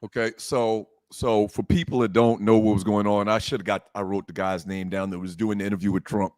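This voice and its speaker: A man co-hosting a casual sports and current events talk show, speaking in an animated, blunt way and swearing freely. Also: lowish voice, a little fast, dry recording, studio quality.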